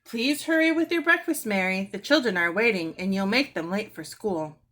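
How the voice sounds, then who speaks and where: A woman's voice: mid-range voice, expressive, moderate pace, a bit bright. A woman voicing a fretful, exasperated mother in a storybook, with an emphatic, complaining manner.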